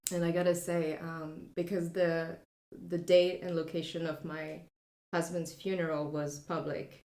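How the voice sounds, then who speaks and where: A woman in her thirties from France with a French accent, telling her personal story as a podcast guest in a soft, reflective way with many pauses and filler sounds. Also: low-pitched, flat delivery, reverberant.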